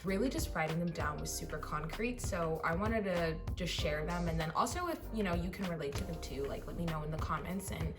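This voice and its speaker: A woman in her twenties chatting to camera for her vlog, a little hoarse, with lots of "like" and "you know". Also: lowish voice, brisk, few pauses, recorded from a distance, music in the background. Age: 20s. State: slightly hoarse. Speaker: vlogger. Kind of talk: casual chat to camera.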